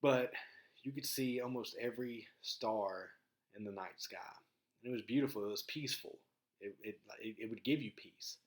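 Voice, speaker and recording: A man from rural Mississippi with a Southern accent, talking casually to viewers in a laid-back, halting, folksy way as a vlog host. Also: lowish voice. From rural Mississippi, southern United States.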